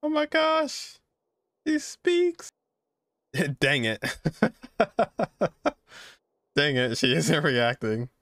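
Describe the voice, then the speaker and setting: A man's voice: on the high side, wildly swooping pitch, drawn-out words, long pauses, fairly bright tone, no room sound, clean studio sound. A young man streaming casual game reactions in short, muttered exclamations. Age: young adult. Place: indoor room.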